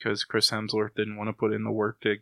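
Bright male co-host, casual chat. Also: lowish voice, monotone, fairly quick, creaky, dry recording, studio quality. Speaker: podcast co-host.

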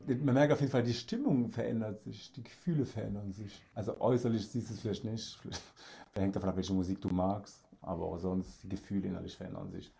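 A man's voice: fairly low pitch, brisk, creaky, mellow. A man guest in a casual podcast chat, enthusiastic, laughing often and saying "auf jeden Fall" again and again.

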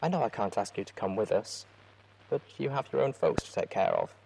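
A man voicing a worried young mare writing to a friend, read in a soft, hushed voice. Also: lowish voice, lively intonation, brisk, vocal fry, slight background noise, poor audio. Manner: soft, hushed letter reading.

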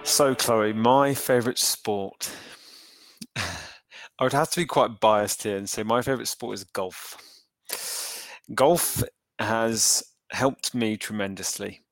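Guy being interviewed, lowish smooth voice, lots of ums, dry close mic. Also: no echo. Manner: thoughtful, hesitant with many fillers and restarts. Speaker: entrepreneur guest. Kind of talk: interview answers.

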